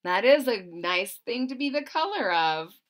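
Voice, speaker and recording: A woman reading a picture book to children in a high, bright voice. She takes her time, using very animated pitch and pausing for asides and questions to her listeners. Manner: expressive, asks the listeners questions. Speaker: storybook reader.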